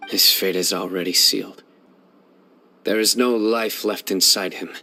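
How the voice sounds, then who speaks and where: An actor voicing a stern young judge in a video game, firm, cool and precise. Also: male voice, deep voice, steady tone, a little slow, gravelly, sharp tone, full character voice. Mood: somber. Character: stern young desert judge, sharp, unyielding.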